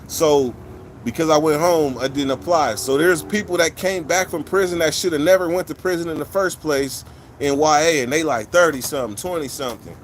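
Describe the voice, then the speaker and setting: A man's voice: fairly high pitch, fairly quick, slight background noise. A man from California, hosting a livestream and chatting casually with viewers in blunt, profane street slang, rambling from one story to the next. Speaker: livestream host.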